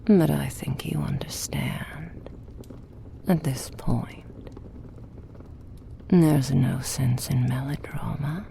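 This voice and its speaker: A very deep, crackling female villain played by an actress speaks slowly and deliberately. She is a cruel demonic mage in an ASMR roleplay, taunting and possessive, murmuring with quiet menace over the one she owns. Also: exaggerated voice. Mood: smug menace. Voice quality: breathy whispering, gravelly.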